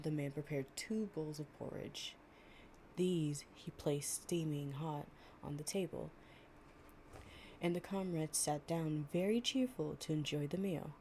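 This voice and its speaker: A woman's voice: deep voice, warm tone, slight background noise. A woman performing a storytime audio roleplay, reading fables and chatting informally and playfully. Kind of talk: gentle storytime reading with improvised casual chat.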